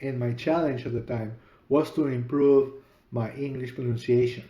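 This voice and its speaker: A middle-aged Peruvian man with a Spanish accent tells his own story in a slow, deliberate podcast monologue. His voice is mellow and warm. The room around him echoes noticeably. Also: mid-range voice, steady tone. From Peru. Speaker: podcast host and author.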